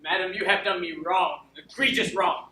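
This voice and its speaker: A man playing a wronged, pompous gentleman servant on stage, emphatic, formal and indignant. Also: sits high, expressive, moderate pace. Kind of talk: theatrical stage acting. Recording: echoey stage, distant mic, faint noise.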